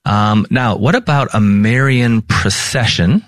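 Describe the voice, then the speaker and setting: A man with an American accent and a low-pitched voice, recorded dry. He teaches in an informal, explanatory way, drawing out and stressing the important terms like a theology instructor. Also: slow, no echo. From Minnesota, United States. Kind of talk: informal explanatory lesson with read-out passages.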